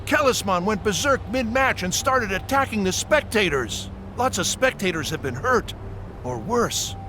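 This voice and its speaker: An actor playing a grim monster witness in a video game, gravely reporting bad news. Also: male voice, high voice, lively intonation, moderate pace, noisy background. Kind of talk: video game cutscene acting.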